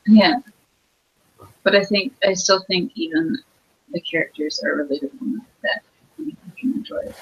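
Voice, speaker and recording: A woman guest reviewer in a casual interview, soft-spoken with giggly laughs. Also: on the low side, normal speed, pauses often, husky, muffled recording.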